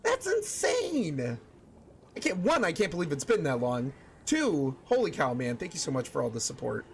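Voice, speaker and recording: A young man with a slightly raised voice talks loosely to his stream chat, stopping and restarting his sentences as he thinks out loud. Faint ambient noise sits under his voice. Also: a little high, wildly swooping pitch, moderate pace, creaky. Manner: chatty, thinks aloud with many restarts and fillers.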